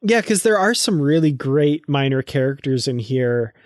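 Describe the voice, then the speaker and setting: A man's voice: mid-range voice, expressive, normal speed, no room sound. An enthusiastic man with a mid-range voice co-hosts a podcast. His talk is casual and appreciative, and the recording is dry. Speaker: podcast host. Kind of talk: casual chat.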